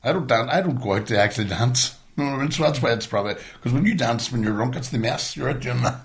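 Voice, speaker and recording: A man's voice: medium pitch, lively intonation, fast talker. A man in his forties with a Northern Irish accent, chatting casually and telling stories in sweary, colloquial talk. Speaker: podcast host. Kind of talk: casual chat.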